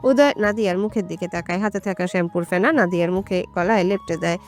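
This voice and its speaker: A woman's voice: fairly low pitch, fast talker, faint music behind. A woman storyteller reading a romantic story as scripted, dramatic narration.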